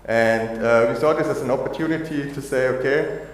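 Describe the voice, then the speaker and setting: A man from Austria giving a technical conference talk, halting with many fillers and restarts. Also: mid-range voice. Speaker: conference speaker. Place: conference hall. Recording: echoey hall, long reverb.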